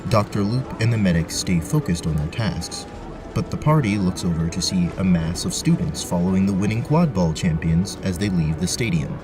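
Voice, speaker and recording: Low-voiced man narrating fantasy. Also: animated, moderate pace, over music. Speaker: narrator, game master. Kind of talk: scripted fantasy story narration.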